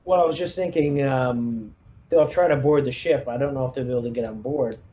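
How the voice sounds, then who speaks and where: Man planning moves, soft mellow voice. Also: medium pitch, brisk, muffled recording, slight background noise. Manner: collaborative, thinks aloud, hesitant phrasing. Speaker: player in a tabletop roleplaying game, leading the squad. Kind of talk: casual in-character tactical planning.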